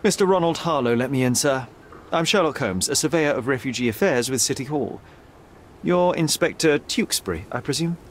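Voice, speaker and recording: An actor playing a self-assured young detective in a video game, articulate, polite but pointed and persuasive. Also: male voice, medium pitch, lively intonation, fairly quick, slight background noise, close to an everyday voice. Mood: polite, composed. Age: young adult. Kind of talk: video game cutscene acting.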